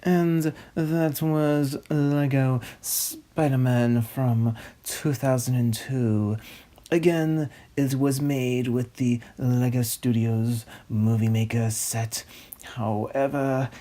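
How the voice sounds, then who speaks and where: A man's voice: medium pitch, steady tone, slow, warm tone, lightly acted. A man playing a pompous, fussy narrator who reads out a script theatrically. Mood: snobbish. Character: snobby, fussy male narrator, a pompous connoisseur. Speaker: narrator host.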